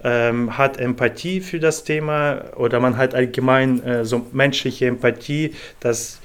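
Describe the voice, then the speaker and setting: A young man from Ukraine, a volunteer organizer, answering interview questions in a slow, measured way with many pauses and fillers. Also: medium pitch, fairly even intonation, clean tone.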